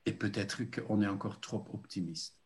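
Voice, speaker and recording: A man's voice: on the low side, fairly even intonation. An older man preaching a sermon as a pastor, earnest and reflective, with frequent hesitations. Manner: slow, deliberate, with frequent hesitations.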